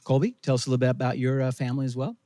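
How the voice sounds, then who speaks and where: A man's voice: medium pitch, fairly even intonation, very fast, dark tone, no echo. A middle-aged man hosting a church interview, asking upbeat, friendly questions. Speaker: pastor.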